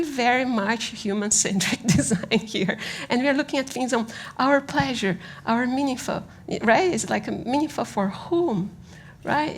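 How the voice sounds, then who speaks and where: Gravelly-voiced Brazilian woman presenting. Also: a little high. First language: Portuguese. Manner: halting, conversational, often checks with "right?". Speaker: conference speaker on design. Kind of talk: informal conference presentation.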